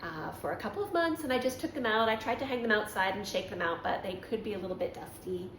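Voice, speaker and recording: A chatty woman hosting a knitting podcast, her voice slightly raspy from allergies. She rambles along with frequent ums and corrects herself mid-thought. She is recorded in an echoey room with a faint hum behind her. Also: medium pitch, animated, quick pace, fairly bright tone. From Canada. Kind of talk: casual show-and-tell chat.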